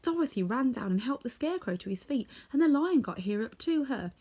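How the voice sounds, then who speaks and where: A woman's voice: high-pitched, lively intonation, a little fast, mellow, slightly rough. Woman reading a children's story aloud. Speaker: storybook reader. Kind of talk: scripted children's story narration.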